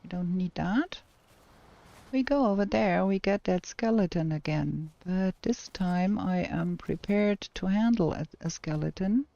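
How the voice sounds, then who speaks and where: A woman's voice: fairly low pitch, lively intonation, a little slow, creaky, mellow, muffled recording. A woman with a German accent streaming a game, thinking aloud and chatting with her viewers as she plays. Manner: talks to herself and chat while playing, thinking aloud. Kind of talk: casual gameplay commentary.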